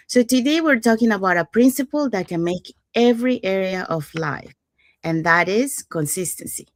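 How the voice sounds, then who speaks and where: A woman's voice: lowish voice, no room sound. A woman from Panama hosting a casual online talk show, upbeat and welcoming. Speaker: host.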